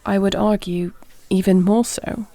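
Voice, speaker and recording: A woman playing a duty-bound warrior queen and tender wife in an intimate audio roleplay, speaking in a measured, earnest, formal way. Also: medium pitch, fairly even intonation, warm tone, no echo, close to an everyday voice. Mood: resolute, solemn. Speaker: voice actor.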